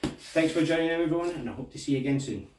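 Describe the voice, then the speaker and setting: A man's voice: fairly high pitch, fast talker, noisy background. A man with a Scottish accent giving a martial arts tutorial, talking through the moves as he demonstrates them. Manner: relaxed, talks through moves while demonstrating. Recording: echoey room, mic at a distance.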